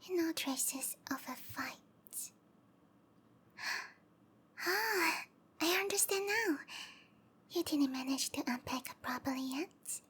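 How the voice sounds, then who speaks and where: A woman voicing a sweet, obsessive young snake-monster girlfriend in a roleplay ASMR, soft and whispery, with a cutesy, pleading delivery. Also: high-pitched, lively intonation, slow, long pauses, full character voice. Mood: puzzled, doting.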